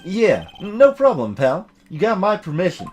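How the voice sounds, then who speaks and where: Man doing a bumbling, animated police detective. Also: high-pitched, moderate pace, husky, voice pushed up, full character voice. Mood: apologetic, helpful. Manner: blunt, folksy, ends remarks with "pal". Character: bumbling, eager, friendly male police detective.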